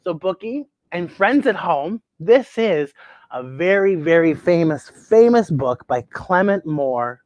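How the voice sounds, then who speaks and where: Woman, children's storyteller, very lively intonation, unhurried drawn-out phrases, theatrical pauses, dry indoor sound. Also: fairly low pitch, no room sound, muffled recording. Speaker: children's story show host. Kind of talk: expressive storytelling and read-aloud for children. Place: indoors at home.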